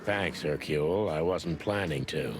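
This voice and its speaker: An actor playing a world-weary rooster detective in a noir video game, wry and laconic, with music underneath. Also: male voice, rumbling low, measured pace, noisy background. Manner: deadpan, laconic, hardboiled.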